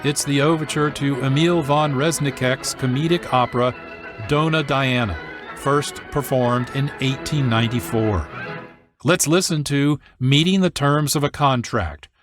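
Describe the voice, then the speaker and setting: Measured male radio host over music. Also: medium pitch. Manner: measured, formal presenting. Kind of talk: scripted narration.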